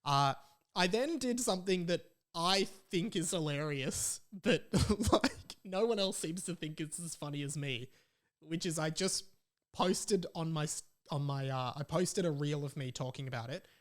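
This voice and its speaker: A man's voice: fairly high pitch, measured pace. Playful Australian man hosting a podcast. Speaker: podcast host. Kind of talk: casual solo podcast chat.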